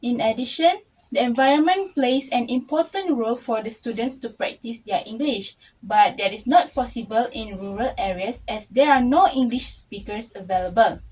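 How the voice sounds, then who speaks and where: A woman's voice: a little high, a little mellow, poor audio. A young woman, a university student steadily reading a prepared class presentation. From Malaysia. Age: young adult. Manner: reads steadily from a prepared script.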